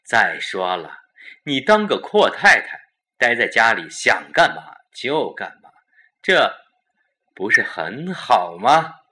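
A man's voice: mid-range voice, sing-song, slow pace, sharp tone, full character voice. A man playing a domineering, impatient older company-boss husband, overbearing and exasperated, in a Chinese audio drama.

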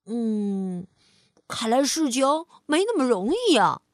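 A woman playing a mischievous excitable monkey. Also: a little high, slow, no echo, clean studio sound, voice pushed down. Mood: doubtful.

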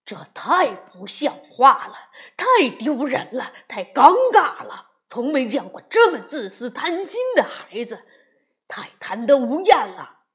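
Woman doing a gruff papa bear. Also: mid-range voice, very animated, slow pace. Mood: outraged. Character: hearty grown male bear father, grumbling.

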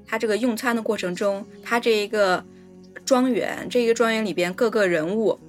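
A woman's voice: mid-range voice, a little fast, faint music behind. A woman co-hosting a casual film discussion, explaining her thoughts and rephrasing as she goes. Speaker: podcast co-host.

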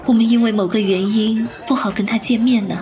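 A woman plays a mysterious young wife in an audio drama, with a fairly high voice over faint music. She is gentle and soft-spoken, always courteous. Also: fairly even intonation, brisk, close to an everyday voice. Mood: apologetic, gentle. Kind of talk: Chinese audio drama acting.

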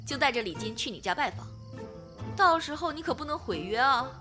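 A woman playing a bold, protective young woman with an expressive, theatrical delivery in a radio drama. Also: high voice, a little fast, over music. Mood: scheming, teasing. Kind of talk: modern Chinese radio drama.

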